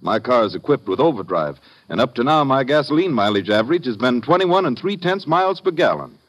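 A man's voice: mid-range voice, very animated, fairly quick. An American man voicing a satisfied car owner's testimonial in a 1950s radio commercial. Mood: satisfied, earnest.

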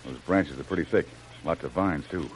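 A man's voice: very deep voice, normal speed. A man playing a brave, protective jungle adventurer who takes charge and reassures, in old-time radio serial style. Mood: calm, quiet. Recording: noisy old radio drama recording.

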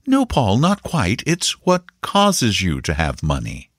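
A man's voice: on the low side, wildly swooping pitch, slow, husky, no room sound, clean studio sound, heavily acted. A man voicing a bitter, weary mother explaining things to her child in a story reading. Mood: patient explaining.

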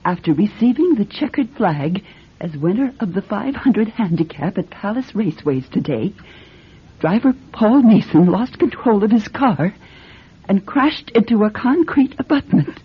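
A woman acting in a radio drama as an anxious, quick-witted grandmother with a husky, breathy voice. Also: mid-range voice, big pitch swings. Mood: grave. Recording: muffled old radio drama recording.

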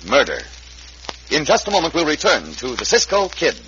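A man's voice: on the high side, very animated, normal speed, a little hiss or hum. A man narrating a radio drama as announcer, in a theatrical, emphatic vintage style. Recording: muffled old radio recording. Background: loud dramatic music.

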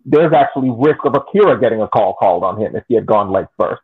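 A podcast co-host calmly laying out his argument with hypothetical examples. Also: on the low side, quick pace. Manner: methodical, argues through hypotheticals. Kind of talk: casual sports debate. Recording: husky voice over a tinny phone-like line.

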